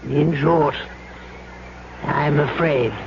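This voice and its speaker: High-voiced, slow, slippery colonial trader. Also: male voice, steady tone, creaky, loud music behind the voice, exaggerated voice. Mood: evasive, apologetic. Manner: affected, old-boy politeness, drawn-out hesitant delivery. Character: smooth, evasive, falsely apologetic colonial trader. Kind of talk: old-time radio drama acting. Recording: muffled radio recording with faint noise.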